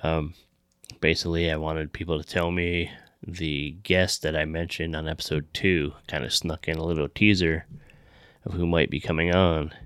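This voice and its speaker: A man's voice: rumbling low, little pitch movement, moderate pace, a bit bright, smooth, dry recording, clean studio sound. A man hosting a podcast, chatting casually and making show announcements. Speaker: podcast host.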